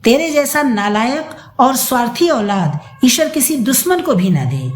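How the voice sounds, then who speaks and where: A woman's voice: sits high, big pitch swings, measured pace. A woman voicing a heartbroken older mother who scolds reproachfully in an audio story. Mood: tearful reproach. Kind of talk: dramatised audio story reading. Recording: roomy echo.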